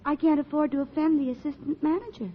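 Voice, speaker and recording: A woman's voice: sits high, steady tone, fast talker, close to an everyday voice. An actress playing a breezy, sweetly fickle young lady in a 1940s radio comedy. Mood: breezy, coy. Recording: muffled 1940s radio recording.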